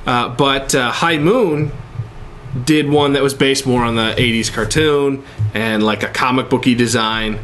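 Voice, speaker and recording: A man's voice: mid-range voice, steady tone, a little slow, fairly bright tone. A man in his thirties chatting casually as co-host of an entertainment show. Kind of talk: casual chat about video games. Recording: noisy room recording.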